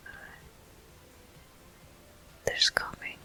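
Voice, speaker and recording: A person whispering soft, breathy, unhurried commentary in short phrases while playing a video game for ASMR viewers. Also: soft background music. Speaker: video game player and host.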